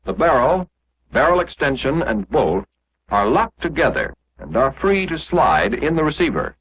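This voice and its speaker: A man's voice: medium pitch, very animated, moderate pace, slightly rough. A man narrating a 1940s instructional film, explaining step by step in a scripted, didactic way. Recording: muffled, dull 1945 film soundtrack.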